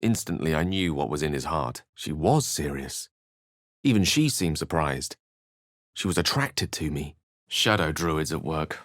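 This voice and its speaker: An actor playing a courteous, erudite wizard adventurer in a video game, with eloquent, measured, theatrical phrasing and a wry, earnest temper. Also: male voice, very deep voice, animated, fairly bright tone, no echo, clean studio sound, full character voice. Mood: reflective. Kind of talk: video game character lines and narration.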